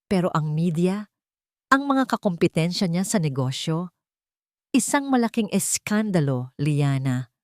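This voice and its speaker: A woman's voice: on the low side, darkish tone, no echo, studio quality. Woman voicing a smug, menacing male blackmailer. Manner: taunting, threatening. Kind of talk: dramatized audio romance story.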